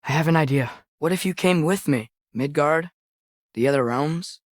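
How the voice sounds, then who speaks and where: A man's voice: on the high side, normal speed, no room sound, lightly acted. Earnest teenage boy adventurer, acted by a young man, dry studio sound. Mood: earnest, curious. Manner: hesitant, earnest, boyish. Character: earnest, curious, impulsive teenage boy adventurer in Norse myth. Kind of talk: naturalistic video game voice acting.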